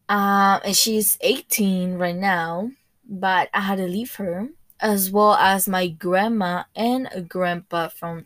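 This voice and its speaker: Slow, careful teenage girl. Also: mid-range voice, fairly bright tone, no echo. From Spain, raised in Ecuador. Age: teens. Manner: slow, careful, searching for English words. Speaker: interviewee. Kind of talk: school podcast interview.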